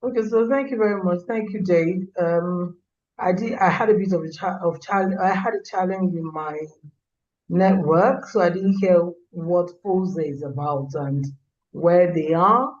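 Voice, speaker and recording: A man asking polite questions on a webinar call, stumbling and correcting himself as he speaks. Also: sits high. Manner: polite, halting with self-corrections. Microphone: video call. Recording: dull call audio with faint noise.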